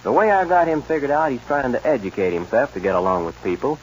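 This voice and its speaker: An actor playing a brisk, commanding cowboy ranch foreman in a 1940s radio western style. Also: medium pitch, expressive, close to an everyday voice. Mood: businesslike. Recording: dull, noisy old radio recording.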